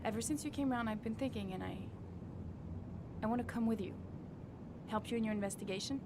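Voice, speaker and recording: A woman's voice: a little high, fast, noisy background, lightly acted. An actress playing a grieving, determined mother in a video game, earnest and hesitant but insistent. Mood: pleading, insistent. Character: grieving, determined mother seeking her son's killer. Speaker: actor. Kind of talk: cinematic video game dialogue. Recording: music behind the voice.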